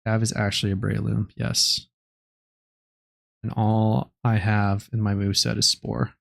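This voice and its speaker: Young male streamer, low gravelly voice, dry close mic, laid-back late-night chatter. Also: a little slow, no echo. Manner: low-key, relaxed, rambling with many fillers. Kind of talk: casual live-stream chat while gaming.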